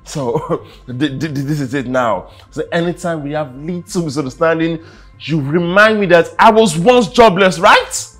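A man's voice: fairly high pitch, moderate pace, hardly any pauses, faint music behind, close to an everyday voice. An actor playing an arrogant husband who mocks and dismisses his wife, often stammering when agitated. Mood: scornful.

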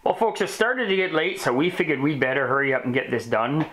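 A man's voice: a little high, lively intonation, brisk, fairly bright tone, echoey room, a little hiss or hum, rough recording. A middle-aged man casually talking to viewers while working on a door, thinking aloud as he measures. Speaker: homestead vlogger. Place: doorway of a wooden cabin, open to outdoors.

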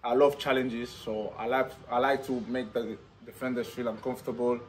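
A man's voice: mid-range voice, flat delivery, normal speed, a little hiss or hum. A man in his twenties from Spain, with a Spanish accent and plain, non-native English, talking eagerly in an interview in a stadium's stands. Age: 20s. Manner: enthusiastic, non-native English with simple phrasing. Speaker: footballer. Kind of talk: post-signing interview. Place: outdoor football stadium stands.

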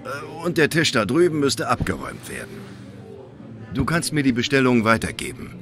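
An actor playing a friendly, easygoing bar owner in a video game, casual and kindly. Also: male voice, medium pitch, huge pitch swings, normal speed, fairly bright tone. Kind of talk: video game dialogue acting.

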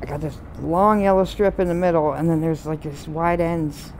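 A middle-aged woman streaming a game from home on a desk mic, talking softly in meandering, complaining asides. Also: low-pitched, steady tone, moderate pace, a little hiss or hum. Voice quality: soft voice. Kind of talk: rambling casual commentary. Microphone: desk mic with headphones. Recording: loud game music behind voice. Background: game music.

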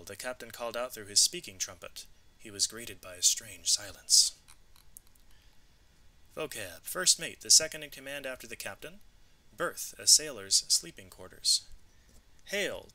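Male read-aloud narrator, mid pitch, very bright sharp voice, expressive storybook and lesson delivery. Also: low-quality recording. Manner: expressive, clearly emphasised reading. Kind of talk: educational storybook narration with vocabulary asides.

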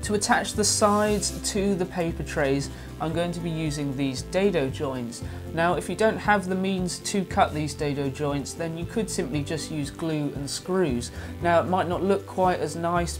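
A young man talks through a woodworking build step by step in an even, calm voice-over. Clear background music plays beneath his narration. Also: on the high side. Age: young adult. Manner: calm, explains each step plainly. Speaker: woodworking channel host.

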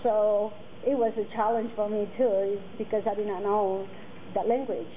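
A woman in her forties, a native Mixtec speaker, speaking earnestly to students and halting often with fillers and restarts. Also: medium pitch, little pitch movement. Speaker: guest speaker. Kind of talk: personal talk to students. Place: classroom with curtains, indoors. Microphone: table mic on a stand. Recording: tinny phone-like sound, faint noise.

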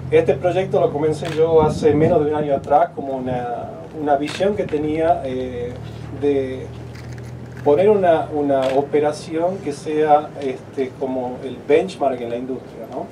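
Halting Argentine man speaking outdoors. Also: a little high. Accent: Argentine Spanish. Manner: halting, many filler words and restarts. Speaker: company executive giving a speech. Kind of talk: unscripted ceremony speech. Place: outdoors at a groundbreaking ceremony under a tent. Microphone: handheld mic at a podium, filmed from afar. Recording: distant outdoor camera audio with noise.

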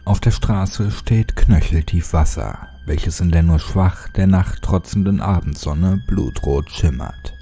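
Male narrator for creepy audiobook channel, deep register, husky and heavily mellow, unhurried. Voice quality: husky, dark, low. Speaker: horror story narrator and channel host. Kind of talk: casual channel address, then dramatic horror audiobook narration.

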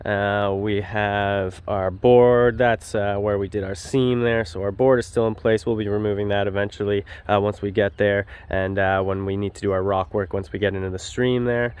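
Smooth-voiced young man giving a job-site walkthrough. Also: fairly low pitch, normal speed, few pauses. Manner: relaxed, explanatory, frequent "uh" fillers. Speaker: pond builder. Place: outdoor backyard construction site. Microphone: handheld wide-angle camera at arm's length.

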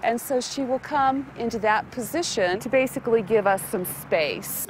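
A woman's voice: on the high side, normal speed, rough recording. A woman in her forties giving unscripted interview answers outdoors on a lapel microphone. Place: outdoors on an open path. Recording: outdoor interview with background noise.

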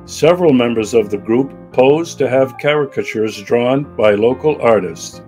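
A man narrating a travel documentary in a calm, measured way. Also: medium pitch. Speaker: narrator and interviewer. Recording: voice-over with loud background music.

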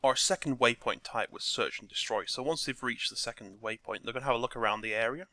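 A man with a croaky voice talking through a game scripting tutorial step by step from his desk. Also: mid-range voice, fast talker, no room sound. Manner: relaxed step-by-step explaining. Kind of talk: screen-recorded tutorial narration.

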